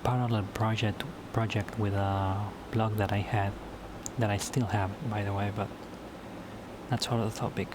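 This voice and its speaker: A man whose first language is Spanish, talking casually to camera in a solo vlog at home late at night, halting and often repeating words as he restarts his sentences. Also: fairly low pitch, little pitch movement, noisy background. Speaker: YouTuber.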